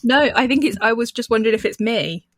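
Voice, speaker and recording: A woman's voice: on the high side, expressive, fast talker, no echo, studio quality. A woman hosting a podcast in easy casual chat, with short replies and frequent laughs, her microphone set off to the side. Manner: easygoing, laughs often, brief replies. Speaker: podcast host.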